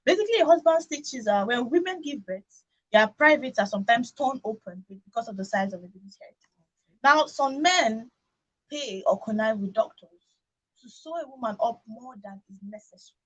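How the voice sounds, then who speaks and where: A Nigerian woman in an animated radio discussion, talking emphatically with opinions and rhetorical questions. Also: on the high side, moderate pace, highs cut off. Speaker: radio talk show host.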